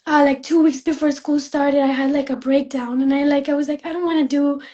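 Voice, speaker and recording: A young woman from Spain, a fashion student, chatting in an interview, soft-spoken with many fillers and restarts. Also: high-pitched, fairly even intonation, fairly quick, dull audio. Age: young adult. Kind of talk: casual interview chat.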